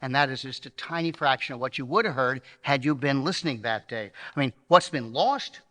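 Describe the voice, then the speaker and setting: Bright, forceful man presenting in a hall. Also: mid-range voice, brisk. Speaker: presenter and member of a radio re-creation troupe. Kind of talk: introducing a live show to an audience. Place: live stage at a banquet hall. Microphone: stage microphone. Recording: reverberant hall sound.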